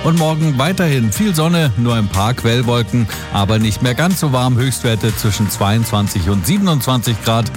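A man's voice: medium pitch, lively intonation, moderate pace. A man presenting radio weather and traffic in standard German, as a scripted service update. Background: music bed.

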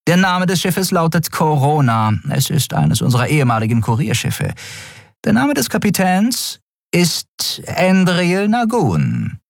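A man's voice: a little high, huge pitch swings, unhurried, gravelly, dry recording, clean studio sound, pitched above the performer's own voice, exaggerated voice. A man voicing a female alien first officer who reports in a formal military manner, in an audiobook.

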